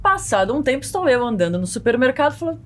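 A Brazilian woman in her thirties talking in a casual panel discussion, explaining things in an animated, expressive way. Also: sits high, rapid-fire. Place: small padded podcast studio. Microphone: close boom-arm studio microphone.

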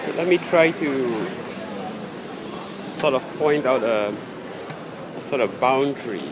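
A man giving halting, unscripted commentary as he films outdoors on a handheld device in a busy city street. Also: mid-range voice, animated. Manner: halting, searching for words. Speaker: amateur video maker filming a street scene. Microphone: handheld phone or camera, held by the speaker. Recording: noisy busy city street, traffic and crowd.

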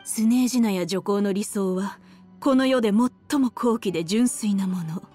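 A woman playing a haughty, cruel, elegant villainess in a Japanese anime game, with a condescending, mocking, drawn-out aristocratic delivery. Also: medium pitch, dark tone, natural voice. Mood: scornful. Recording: studio voice over game music.

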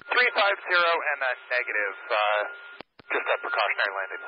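Calm pilot on a noisy radio. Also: male voice, medium pitch, brisk, highs cut off. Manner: calm, brief, with hesitant fillers. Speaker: airline pilot. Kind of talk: radio exchanges with air traffic control.

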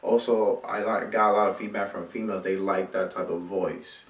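A man's voice: low-pitched, flat delivery, moderate pace. A young rapper talking casually in a small home studio, agreeable and earnest as he explains his choices. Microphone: far camera. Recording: echoey room from far off.

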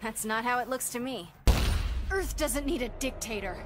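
A woman's voice: a little high, expressive, moderate pace, natural voice. A woman voicing a headstrong, confident young superheroine in a video game, throwing out taunting quips. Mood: determined. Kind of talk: video game character acting. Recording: loud music behind.

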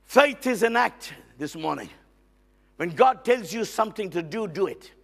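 A middle-aged man preaching a lively sermon as a guest on a church stage, loud and excitable, with frequent amens and hallelujahs. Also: sits high, normal speed, gravelly. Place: church stage with band instruments.

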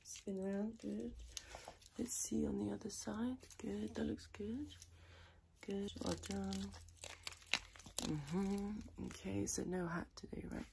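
A woman softly improvising an ASMR personal attention role play as a tailor, chatting in a rambling, chaotic way close to the microphone. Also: medium pitch, level tone, slow pace, gravelly, long pauses, background noise. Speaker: ASMR creator playing a tailor.

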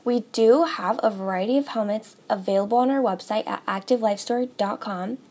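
A woman's voice: mid-range voice, normal speed, darkish tone. A young woman presenting a store's product guide, in an upbeat, scripted manner that addresses the viewers directly. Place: studio with a table, voice-over for most of the video.

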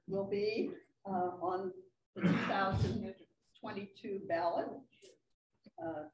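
An older woman hosting a meeting, introducing a guest speaker in a chatty way. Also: medium pitch. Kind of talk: reading an introduction. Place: hotel dining room, indoors. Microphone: far room camera. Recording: dull echoey room from far off.

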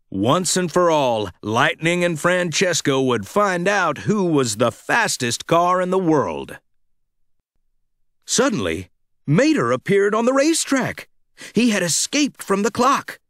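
Animated, unhurried male children's storyteller. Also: fairly high pitch, no echo, studio quality. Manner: dramatic, expressive delivery for children. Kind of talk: scripted read-along storytelling.

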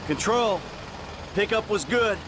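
Wry video game crook passing as a security guard. His voice sits high for a man and he hurries people along drily, over clear vehicle ambience. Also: lively intonation, takes it slowly, background noise. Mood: brisk. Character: impatient, wry male robber posing as security guard.

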